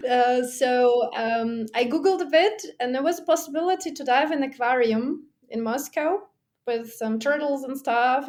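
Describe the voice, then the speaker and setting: A woman being interviewed about her diving, talking casually with frequent laughter and acting out her own remarks. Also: on the high side. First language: not German. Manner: lively, laughs often, acts out her own past remarks; restarts and fillers like "like". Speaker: guest, technical diver and underwater photographer.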